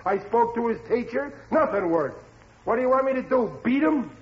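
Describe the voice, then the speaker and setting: A man's voice: very high-pitched, animated, normal speed. An actor playing a blustering, dim working-class father in a 1940s radio comedy, with slangy, exasperated speech. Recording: muffled, hissy old radio recording.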